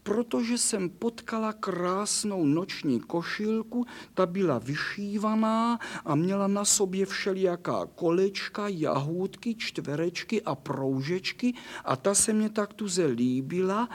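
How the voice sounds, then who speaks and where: A man voicing a poor, sweet nightshirt with a soft, plaintive way of talking. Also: high-pitched, few pauses, pitched above the performer's own voice. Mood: sad, plaintive.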